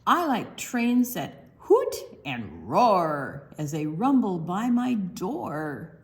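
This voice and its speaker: A woman's voice: fairly low pitch, wildly swooping pitch, very slow. An older woman reading a picture book aloud to young children, drawing out words expressively and adding explanatory asides. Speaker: storytime reader.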